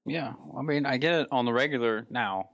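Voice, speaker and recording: A man's voice: mid-range voice, brisk, creaky, fairly bright tone. A man in his twenties on a casual podcast, blunt and crude in his jokes. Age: 20s. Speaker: podcast co-host. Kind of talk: casual chat.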